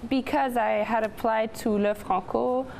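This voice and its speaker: A woman's voice: fairly high pitch, fairly even intonation, measured pace, vocal fry, darkish tone, a little hiss or hum, rough recording. A woman in her twenties from Montreal, chatting casually as a studio interview guest on a lapel microphone. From Montreal, Canada. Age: 20s. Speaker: interview guest, newspaper editor-in-chief. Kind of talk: casual chat.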